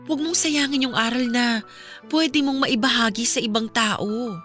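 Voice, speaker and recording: A woman's voice: on the high side, moderate pace, a bit bright, soft background music, lightly acted. An actress playing a caring, steadfast young Filipino woman who consoles a friend in a radio drama, earnest and reassuring. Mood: gentle consoling.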